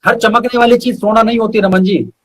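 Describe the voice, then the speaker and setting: Guy with a pretty high voice, poet guest on a live chat, recites verse and explains it, emphatic. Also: fairly even intonation. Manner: emphatic, repeats verse lines deliberately. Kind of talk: live interview, reciting Hindi-Urdu poetry and explaining it.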